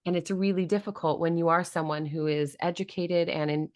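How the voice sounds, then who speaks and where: A woman's voice: fairly low pitch, steady tone, brisk, a little mellow. A woman hosting a podcast, thinking aloud and asking long, reflective questions in a casual interview. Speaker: podcast host.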